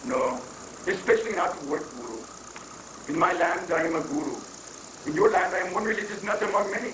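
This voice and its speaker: A man's voice: sits high, animated, fairly quick. A man playing a cheerful, evasive wandering foreign guru obsessed with rock, rambling and mock-pious in a comic stage reading. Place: echoey room, mic at a distance. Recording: noisy 1980s live recording, echoey, distant mic.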